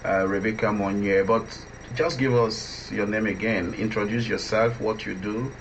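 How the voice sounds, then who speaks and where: A man hosting a conversational radio interview, halting and repeating himself as he asks questions. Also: lowish voice, steady tone, creaky. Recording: dull, faintly noisy line.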